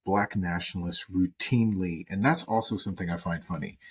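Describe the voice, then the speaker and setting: A man from the American South co-hosting a casual podcast chat, animated and quick to act out the voices he quotes. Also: sits low, husky. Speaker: podcast co-host.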